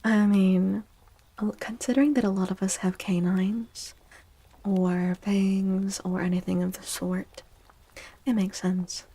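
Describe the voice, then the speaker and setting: Female ASMR streamer: slow, gentle, breathy half-whisper with vocal fry, soothing and affectionate. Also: mid-range voice, little pitch movement. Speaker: livestream host doing tarot readings. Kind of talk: soft-spoken ASMR livestream chat.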